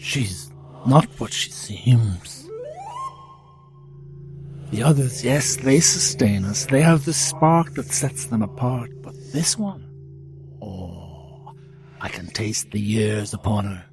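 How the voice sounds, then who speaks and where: An actor playing a sinister, centuries-old piper, slow and theatrical as he muses in an audio drama. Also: fairly low pitch, animated. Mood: sinister musing. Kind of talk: dramatic audio fiction acting. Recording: voice under prominent music.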